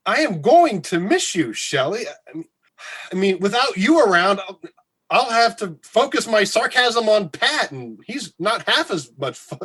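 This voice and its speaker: A man playing an awkward, sarcastic radio colleague with a husky voice and halting delivery in a comedy radio drama. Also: high-pitched, fairly bright tone, exaggerated voice. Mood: sentimental, teasing. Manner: halting, hesitant, stammering.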